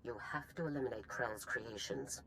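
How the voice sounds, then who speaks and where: A woman's voice: extremely low pitch, normal speed, a bit bright, slight background noise, poor audio. A woman playing a hard, unyielding middle-aged general in a video game, stern and commanding. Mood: grave, commanding. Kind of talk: scripted video game acting.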